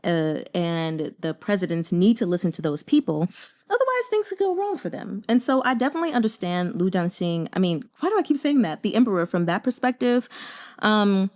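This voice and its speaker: An American woman talks through a book in a casual, conversational style. Her voice sits at a middling pitch. She is expressive and leans into emphatic exclamations as she shares her reactions. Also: brisk. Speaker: book reviewer and host. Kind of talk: casual chatty book commentary.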